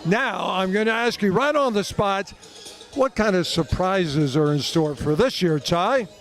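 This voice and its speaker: Upbeat high-voiced man interviewing courtside. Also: a little slow, a bit bright, faint music behind. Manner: upbeat, chatty questions. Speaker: tennis show host. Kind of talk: on-location interviewer. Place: outdoor tennis event.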